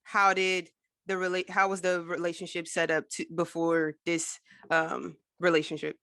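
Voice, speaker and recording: Upbeat podcast host woman, bright and dry. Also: fairly low pitch, moderate pace, no room sound. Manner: upbeat, lively, casual. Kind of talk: conversational interview.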